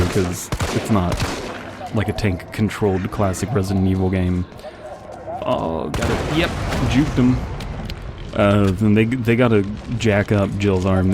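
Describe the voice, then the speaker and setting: Low-voiced man giving casual game commentary. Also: a little slow. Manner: rambling, wry, joking asides. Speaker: video game player and commentator. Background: game music and gunfire.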